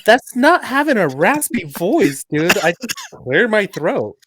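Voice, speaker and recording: A man's voice: high voice, big pitch swings, a little slow, a bit bright, husky, no echo. A man co-hosting a casual movie podcast, joking and teasing in loose banter. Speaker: podcast co-host.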